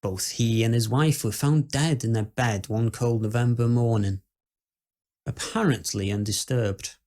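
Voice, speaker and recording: A man playing a skeptical, uneasy Victorian gentleman who tells ghost stories in a formal, measured, old-fashioned way. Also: medium pitch, natural voice. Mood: grave, musing. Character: dread-filled, skeptical Victorian gentleman recounting house ghosts. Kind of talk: first-person dramatic ghost-story monologue.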